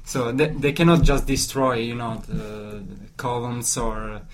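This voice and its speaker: A man with an Italian accent in an informal discussion, speaking haltingly and repeating words as he searches for phrasing. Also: mid-range voice. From Italy. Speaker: discussion participant. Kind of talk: informal discussion in a second language. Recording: clear background noise.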